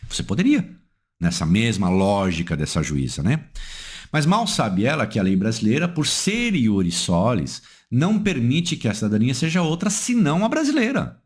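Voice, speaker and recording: A man's voice: wildly swooping pitch, normal speed, slightly rough. A man with a Brazilian accent, explaining a legal decision as an analyst, emphatic and posing rhetorical questions he then answers. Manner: emphatic, swings pitch up and down for stress.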